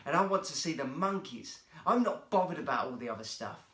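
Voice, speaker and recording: A cheeky, boastful schoolboy from a storybook, acted out by a man. The voice is wilful and bratty, with lively swings in pitch and a roomy echo around it. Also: brisk. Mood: insistent. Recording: roomy, echoey sound.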